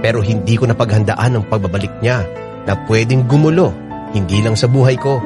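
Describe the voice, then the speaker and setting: A man's voice: fairly low pitch, a little fast. A man reading a scripted first-person story as a dramatic radio narrator. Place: radio studio. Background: background music.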